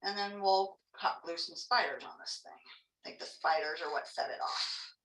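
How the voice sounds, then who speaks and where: A woman from Texas with a Texan accent leads a hands-on craft lesson, chatty and folksy, teasing herself as she goes. Her voice sits fairly low but comes across bright and forceful. She stands a little back from the mic, and the room gives a clear echo. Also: normal speed, recorded from a distance. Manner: chatty, folksy, self-joking. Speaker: craft class instructor. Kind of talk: live online craft demonstration.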